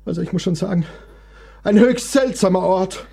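A man's voice: high-pitched, normal speed, creaky, dark tone, breathy edge, full character voice. An actor in a radio play voicing a sickly male composer who complains with bitter, theatrical sarcasm. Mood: anxious, gloomy.

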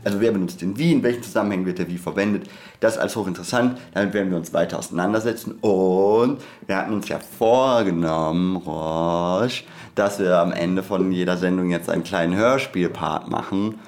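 A man co-hosting a podcast in an informal, halting way, often interrupting himself. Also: deep voice, darkish tone. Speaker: podcast co-host.

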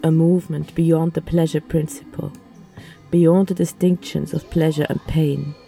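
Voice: mid-range voice, flat delivery, unhurried, vocal fry, mellow. A narrator reading a literary first-person audio play in an intimate, matter-of-fact way. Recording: music behind the voice. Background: music.

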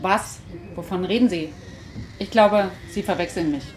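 Casting: actress as curt, irritated woman brushing off strangers, lowish voice, hobby audio drama, faint noise. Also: moderate pace, lightly acted. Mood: annoyed. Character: irritated, dismissive mother accosted by a stranger. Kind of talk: amateur radio play acting.

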